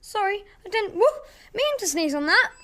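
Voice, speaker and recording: A young performer voicing a polite, excitable male pet dog in an amateur audio play, with woofs between the words. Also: very high-pitched, moderate pace, slight background noise, exaggerated voice. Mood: excited, apologetic.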